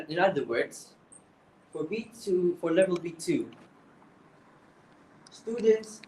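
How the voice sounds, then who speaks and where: A man's voice: on the high side, a little hiss or hum. A young man with a Malaysian accent giving exam tips, earnest and often restarting his phrases. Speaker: English speaking coach. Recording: echoey room, voice from far off.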